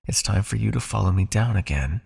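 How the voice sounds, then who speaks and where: Creaky-voiced, possessive male vampire hypnotist, acted by a man. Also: fairly low pitch, expressive, brisk, heavily acted. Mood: playful, coaxing. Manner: soft, coaxing, teasing, commanding. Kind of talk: intimate ASMR hypnosis roleplay.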